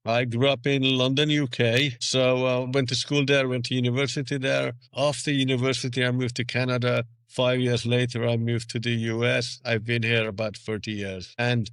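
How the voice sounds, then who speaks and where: Sixties male guest from Hungary, earnest explainer, smooth even voice. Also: medium pitch, normal speed, few pauses, no room sound. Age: 60s. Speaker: podcast guest. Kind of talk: interview answers.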